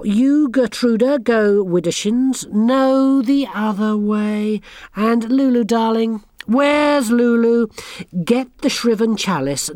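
A woman's voice: on the high side, a little mellow, dry recording, voice pushed up. A woman voicing a haughty, bossy teenage girl witch who talks down to everyone in a sneering, imperious way.

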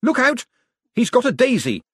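A man voicing a flustered, excitable and easily embarrassed man in an audiobook. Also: on the low side, brisk, slightly rough, no echo, clean studio sound. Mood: alarmed shout.

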